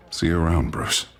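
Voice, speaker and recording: A man's voice: rumbling low, gravelly, sharp tone, full character voice. An actor playing a troubled young male mayor in a video game, swinging between friendly banter and tense, agitated talk. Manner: shifts from friendly to agitated muttering. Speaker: game character.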